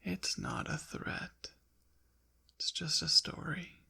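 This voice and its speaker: A man playing a suave, possessive ancient demon in an intimate ASMR roleplay, teasing and haughty in formal, old-fashioned wording, with a breathy, often whispered, gravelly voice. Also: on the high side, flat delivery, takes it slowly, bright, forceful tone, heavily acted. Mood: smug, quietly menacing.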